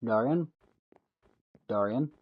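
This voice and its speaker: A man's voice: medium pitch, animated, slow, breathy edge, dull audio. A man streaming a game, chatting casually with a friend. He gives short, laid-back replies and swears casually.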